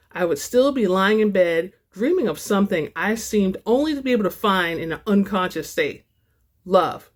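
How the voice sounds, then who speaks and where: A woman reading romance fiction aloud as a host, with an expressive, theatrical delivery. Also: medium pitch. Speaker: audiobook-style reader and channel host.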